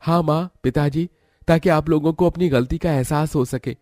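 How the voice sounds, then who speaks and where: A man's voice: on the high side, normal speed, darkish tone, no echo, clean studio sound, pitched above the performer's own voice, close to an everyday voice. A man playing a caring, reassuring young husband in a cartoon story. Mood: explaining.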